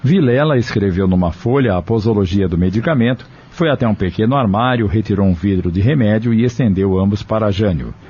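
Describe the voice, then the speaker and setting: Husky, dark-toned male narrator. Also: lowish voice, fairly quick, hardly any pauses, highs cut off. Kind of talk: scripted story narration.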